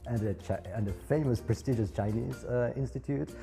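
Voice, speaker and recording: A man's voice: medium pitch, fairly quick, vocal fry, mellow, soft background music. A man from France who works with students at a university, speaking as a talk show guest in a hesitant, self-interrupting way.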